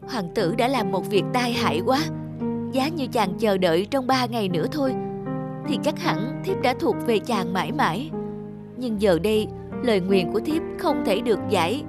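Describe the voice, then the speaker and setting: A woman voicing a gentle, sorrowful young enchanted princess in a fairy-tale cartoon, speaking in a formal, courtly way. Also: on the high side, animated, moderate pace, over loud music, lightly acted.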